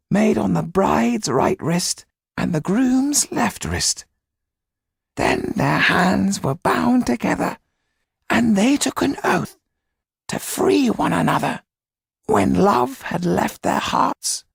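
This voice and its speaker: Solemn older Romany storyteller, slow and grave, very breathy rasp with swooping pitch, audiobook drama. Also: male voice, no room sound, clean studio sound, heavily acted. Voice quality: whispery, gravelly, husky. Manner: slow, hushed, deliberate storytelling. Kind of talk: audiobook character reading.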